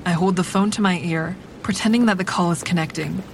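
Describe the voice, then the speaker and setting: A woman's voice: fairly quick, lightly acted. A woman playing a cool, calculating narrator who tells her own story in a wry, controlled way in an audio drama. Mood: controlled, observant. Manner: wry, controlled, matter-of-fact. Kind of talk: scripted first-person drama narration. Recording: faint traffic rumble behind.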